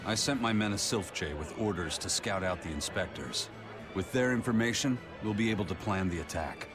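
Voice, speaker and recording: Man acting stern male pirate, lowish pitch, gruff, measured, proud, video game dialogue, music underneath. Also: natural voice. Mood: firm, serious.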